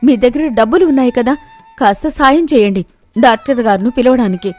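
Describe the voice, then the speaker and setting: A woman's voice: fairly high pitch, a little fast, darkish tone, soft background music, natural voice. A woman voicing a caring village wife in a moral story, earnest and pleading, speaking up firmly. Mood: pleading, reproachful. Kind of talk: animated moral-story voice acting.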